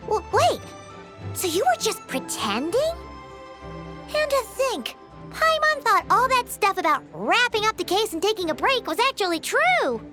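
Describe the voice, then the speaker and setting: A woman voicing a small, naive, eager childlike fairy companion in a video game, squeaky and exclamatory. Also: sing-song, unhurried, over music, heavily acted. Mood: surprised. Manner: speaks of herself in third person, exclamatory.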